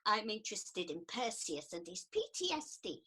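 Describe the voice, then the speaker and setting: A woman's voice: medium pitch, very animated, normal speed, sharp tone, husky, heavily acted. A woman playing an earnest, eager and caring new counsellor in a comedic radio play, with a prim manner. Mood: earnest, concerned.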